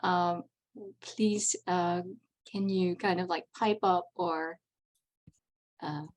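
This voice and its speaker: A woman's voice: fairly low pitch, fairly even intonation, takes it slowly, low-quality recording. A Dutch woman whose first language is Dutch, giving a casual, chatty convention presentation over a video call, with wry asides. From Netherlands.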